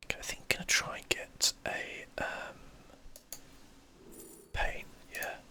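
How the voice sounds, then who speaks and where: A man whispers breathy, unvoiced ASMR game commentary, musing to himself as he thinks aloud. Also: slow, bright, forceful tone. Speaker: gameplay streamer, host.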